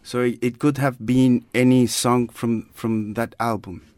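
Man, thoughtful and unhurried, dry close-miked read. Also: mid-range voice, little pitch movement, a little mellow, no echo. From Chile. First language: Spanish. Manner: thoughtful, searching for words in a second language. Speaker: guest. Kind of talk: casual conversational interview.

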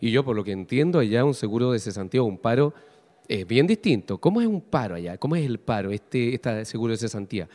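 A man's voice: mid-range voice, sing-song, fast, darkish tone. A Chilean man hosts a radio interview in a mid-range voice. He asks long, probing questions that wander along and are padded with fillers. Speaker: radio host. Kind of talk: interviewing a guest.

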